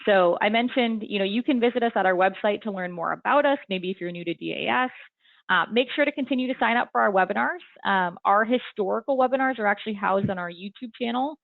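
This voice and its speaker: A young woman talks through a webinar in a friendly, loose way, full of ums and you-knows. Her voice has a gravelly fry to it. The sound is dry and thin, like a phone line. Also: medium pitch, fast talker, no echo. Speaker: webinar presenter and consultant. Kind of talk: casual webinar explanation. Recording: tinny phone-like webinar audio.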